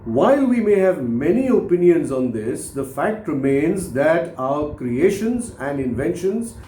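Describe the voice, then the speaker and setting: Guy in his 50s narrating a book, kinda high soft mellow voice, speechy pauses, echoey room. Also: sing-song, slight background noise. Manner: measured, deliberate, oratorical phrasing with pauses. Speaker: author reading own book. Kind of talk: scripted audiobook narration, motivational. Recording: roomy, echoing voice recording.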